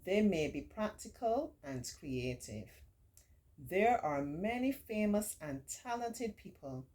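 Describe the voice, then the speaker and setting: A middle-aged woman hosting a children's storytime, reading aloud and adding reassuring, encouraging teaching asides with drawn-out emphasis. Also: mid-range voice, big pitch swings, mellow. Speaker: children's storytime host and remedial tutor.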